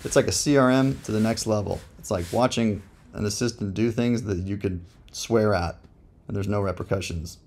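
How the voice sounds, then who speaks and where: A man talks through a software review in a fairly low voice with plenty of gravelly fry. He thinks aloud casually, using slang and dry jokes in a laid-back, unscripted way. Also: moderate pace.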